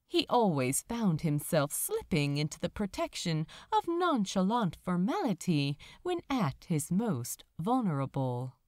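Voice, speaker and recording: A woman narrating fan fiction as a dramatic audiobook, with deliberate phrasing. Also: fairly low pitch, very animated, a little mellow, no room sound, clean studio sound.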